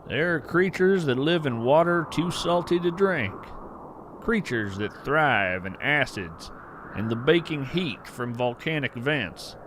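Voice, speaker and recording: A man playing an earnest wandering naturalist in scripted audio fiction, narrating in a measured, poetic way with deliberate pauses. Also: a little high, expressive, noisy background. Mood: quiet wonder. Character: earnest, wonder-struck wandering naturalist of hidden creatures. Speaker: podcast host narrator in character.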